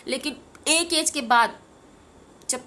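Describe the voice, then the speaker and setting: High, bright-voiced woman in informal spiritual talk. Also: slow pace, slight background noise. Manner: earnest, rambling, repeats phrases for emphasis. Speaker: tarot reader and meditation teacher.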